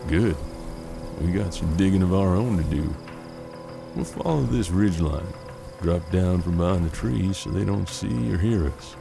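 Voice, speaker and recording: A man playing a seasoned, pragmatic bounty hunter in a western audio drama, with measured, folksy frontier talk. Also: low-pitched, creaky, over music. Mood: intent, businesslike. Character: seasoned male bounty hunter detective of 1870s Kansas, steady, pragmatic.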